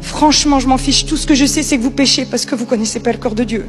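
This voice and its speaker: A woman with a high-pitched voice preaches in an informal, conversational style. She is emphatic and repeats her key phrases, with music playing softly behind her. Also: fast talker. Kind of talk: informal sermon.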